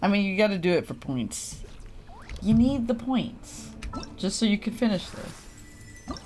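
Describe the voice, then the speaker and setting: A woman's voice: mid-range voice, very animated, unhurried, darkish tone, soft background music. A woman livestreaming a video game, chatting casually with viewers in a playful, exclamatory way and muttering to herself as she plays. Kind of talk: casual gaming chat with viewers, reacting to play.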